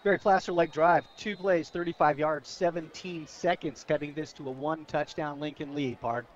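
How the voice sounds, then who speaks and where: A man's voice: on the high side, steady tone, moderate pace. An American man giving color commentary on a radio football broadcast. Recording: dull lo-fi radio broadcast audio.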